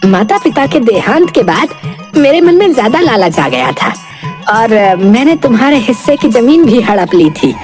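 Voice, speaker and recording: Greedy, envious elder brother for a cartoon woman playing him; high, hesitant, whiny and theatrical, over music. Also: sing-song, normal speed, a little mellow. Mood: feigned remorse. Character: greedy, envious, scheming elder brother, adult male villager. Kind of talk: Hindi moral-story cartoon dubbing.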